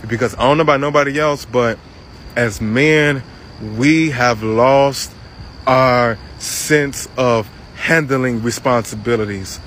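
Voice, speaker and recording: A man telling a personal story and making an earnest appeal, deliberately, with drawn-out pauses. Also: medium pitch, fairly bright tone, low-quality recording. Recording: faint outdoor traffic noise.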